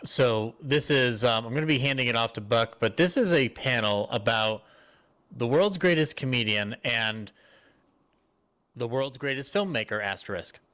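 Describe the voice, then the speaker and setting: A man's voice: mid-range voice, normal speed, pushed and bright. A man speaking casually as a panelist, hesitant and often interrupting himself. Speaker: panelist introducing a discussion.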